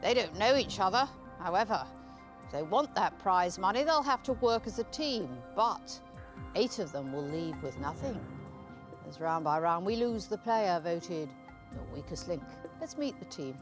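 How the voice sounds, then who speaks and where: A woman's voice: lowish voice, lively intonation, normal speed, music in the background. A woman hosting a television quiz in a studio, reading questions and rules in a curt, mocking way. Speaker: quiz show host.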